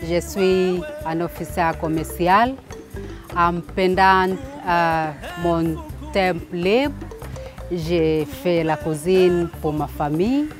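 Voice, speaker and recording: A woman in her fifties from Tanzania, a commercial officer giving measured interview answers outdoors. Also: fairly low pitch. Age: 50s. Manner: measured, halting. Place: outdoors in a courtyard. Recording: music playing behind.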